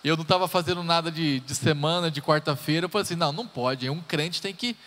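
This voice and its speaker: A man's voice: fairly high pitch, quick pace, a bit bright. Chatty man preaching in a church hall. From Brazil. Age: 30s. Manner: chatty and joking, talks straight to the congregation. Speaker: pastor. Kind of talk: sermon introduction, personal stories. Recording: live church hall reverb.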